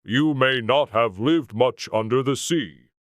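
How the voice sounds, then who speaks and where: Man as a slow, mournful turtle creature. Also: mid-range voice, no room sound, natural voice. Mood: wistful. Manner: slow, plaintive. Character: mournful, sentimental old mock turtle creature. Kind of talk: dramatized audiobook character.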